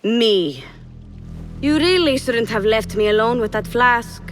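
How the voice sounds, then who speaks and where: An actress playing a coy, coldly loyal young palace schemer in a game cutscene, speaking in a sly, controlled, deliberate way. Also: female voice, on the high side, lively intonation, soft background music. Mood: sly, cool. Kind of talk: video game cutscene acting.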